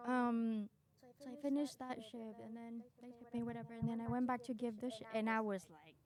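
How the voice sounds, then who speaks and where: A woman from Chile telling personal stories casually as a podcast guest, in an animated, candid, self-mocking way. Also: on the high side, a little fast, crowd noise. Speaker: podcast guest, doctor in training.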